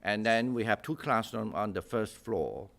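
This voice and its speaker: A man's voice: fairly low pitch, expressive, moderate pace, creaky, fairly bright tone. A jovial man with a mixed, non-native English accent, chatting casually as he hosts an online discussion as a monk. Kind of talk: casual chat. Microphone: video call.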